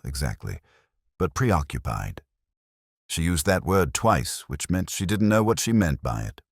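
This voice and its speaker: A man narrating a scripted first-person detective story in a measured, literary, reflective manner. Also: a bass voice, no room sound, clean studio sound.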